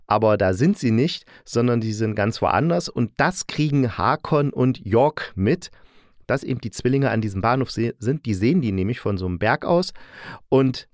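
A man's voice: medium pitch, no room sound, studio quality. A man hosting a casual spoken review, talking in an opinionated, nitpicking, colloquial way. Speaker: audio drama reviewer and host.

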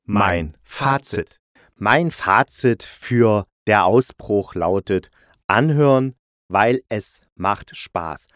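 A man's voice: lowish voice, lively intonation, drawn-out words, fairly bright tone, no room sound, clean studio sound. A man hosting a podcast, giving a casual, chatty review in a playful, jokey way, often correcting himself mid-sentence. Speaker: podcast host and audio drama reviewer.

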